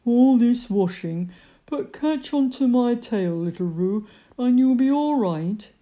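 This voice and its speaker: A woman's voice: mid-range voice, expressive, extremely slow, mellow, voice pushed up. A woman voicing a gloomy, grumbling old male donkey in a storybook, disapproving and drawn out in delivery.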